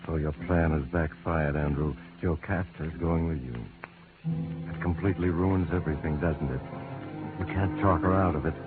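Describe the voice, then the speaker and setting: Ominous radio suspense narrator, very low voice, deliberate, insinuating, muffled vintage recording with music. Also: male voice, fairly even intonation. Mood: knowing. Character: ominous knowing narrator addressing the protagonist as you.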